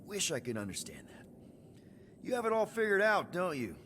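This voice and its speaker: Easygoing, animated space-adventure leader. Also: male voice, sits high, moderate pace, a little hiss or hum, heavily acted. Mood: earnest.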